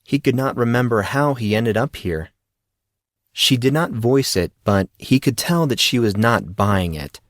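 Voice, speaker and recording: A man's voice: medium pitch, animated, measured pace, no room sound. Male story narrator, very dry sound. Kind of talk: scripted fiction narration.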